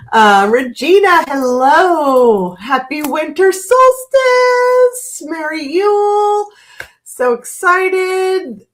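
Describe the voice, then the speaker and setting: A woman's voice: extremely high pitch, big pitch swings, extremely slow. A woman in her fifties hosting a casual livestream, chatty and greeting viewers by name, with a stuffy, congested nose from a cold. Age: 50s. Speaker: livestream host.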